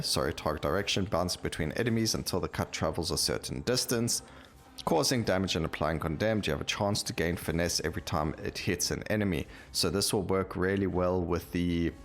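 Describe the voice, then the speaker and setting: A man with a low voice gives casual gameplay commentary over faint music. He hosts in a rambling way, thinking out loud as he plays. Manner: rambling, thinking aloud while playing. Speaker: gameplay video host.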